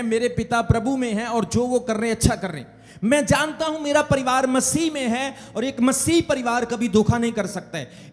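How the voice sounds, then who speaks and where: A man's voice: extremely high pitch, big-room sound. A man preaching a sermon as pastor, calling on the congregation to say amen. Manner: preaching with call-outs for amen.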